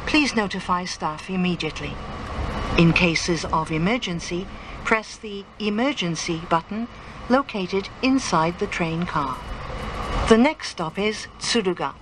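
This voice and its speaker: A woman reading a scripted train announcement formally, in measured and carefully enunciated phrases. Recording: clear train rumble over announcement. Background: train running noise.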